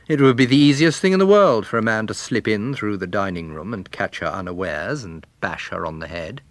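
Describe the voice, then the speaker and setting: A man voicing a prickly, argumentative gentleman. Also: on the low side, lively intonation, brisk, no echo, close to an everyday voice. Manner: curt, contradicting. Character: disagreeable man, a detective-story writer, prickly and argumentative.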